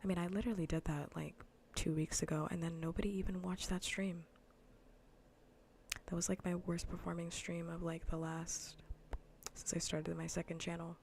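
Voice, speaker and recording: Low, soft half-whispering woman streamer. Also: level tone, moderate pace, slight background noise. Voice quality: soft, breathy near-whisper. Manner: blunt, sardonic, profane rambling. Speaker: livestreamer hosting an ASMR gaming stream. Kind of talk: casual ASMR livestream chat, soft and half-whispered.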